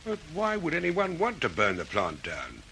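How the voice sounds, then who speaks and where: A man's voice: fairly high pitch, wildly swooping pitch, moderate pace, gravelly, pushed and bright, exaggerated voice. A man playing a flustered, indignant but polite factory manager in an old radio drama, fussy and a little hesitant. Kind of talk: 1940s radio drama acting. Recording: vintage radio recording, faint noise.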